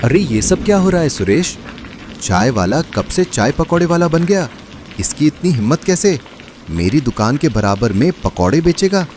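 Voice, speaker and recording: A man playing a jealous, threatening rival snack seller in an animated moral story, confrontational and indignant. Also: fairly high pitch, moderate pace. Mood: outraged. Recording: rain and street noise behind.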